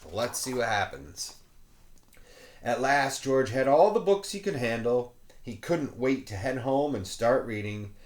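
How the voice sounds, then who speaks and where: A man reading a children's picture book aloud expressively, with playful asides to young listeners. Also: mid-range voice. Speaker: children's story reader, teacher.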